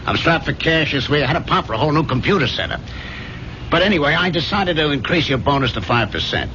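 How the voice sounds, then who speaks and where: A man's voice: fairly high pitch, expressive, fast, pushed and bright. An actor playing a brash, domineering businessman in a 1970s radio drama, with glib, slangy, overbearing patter. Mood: breezy, generous. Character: brash, fast-talking, domineering businessman boss. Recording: dull, noisy old radio broadcast recording.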